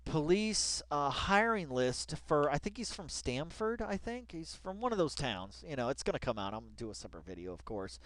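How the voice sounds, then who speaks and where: Guy doing casual read-along commentary, pitch all over the place, keeps interrupting himself, mock dramatic, dry sound. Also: very animated, brisk, hardly any pauses, fairly bright tone, dry recording. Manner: rambling, exclaiming, self-interrupting asides. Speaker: online commentator. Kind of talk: casual commentary while reading an article aloud.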